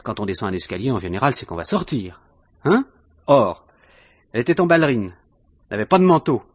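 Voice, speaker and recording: A coolly insistent police inspector in an old French radio mystery. His voice is husky and moves up and down a great deal as he probes with dry, suspicious understatement. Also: mid-range voice, sing-song, a little fast, dry recording. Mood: methodical, suspicious.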